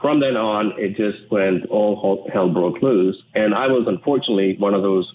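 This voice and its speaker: A man from Sri Lanka, an English speaker, talking in an earnest, measured way as an interview guest. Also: on the low side. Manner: measured, earnest, with frequent restarts. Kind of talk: reflective interview talk. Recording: tinny phone line with faint noise.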